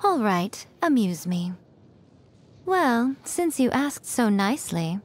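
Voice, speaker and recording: An actress playing a sly, haughty shrine maiden trickster in a video game, teasing, smug and coy. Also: female voice, fairly high pitch, sing-song, slow pace, exaggerated voice. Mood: smug, amused. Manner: smug, coy, drawling amusement. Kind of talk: English video game character voice lines.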